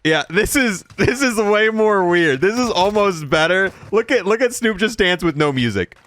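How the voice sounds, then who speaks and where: A man with a fairly high voice gives casual gameplay commentary as a video host. His pitch swings up and down a lot, and his delivery is informal and playful. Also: animated, moderate pace, background noise.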